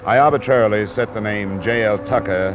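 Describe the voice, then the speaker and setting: Male, American, actor playing a weary, dogged private investigator; deep voice, clipped radio-drama delivery on a muffled old recording. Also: a little fast, noisy background, lightly acted. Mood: weary, matter-of-fact. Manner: hard-boiled, matter-of-fact. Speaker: lead actor and narrator of a detective radio drama. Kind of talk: 1950s American radio detective acting, clipped narration.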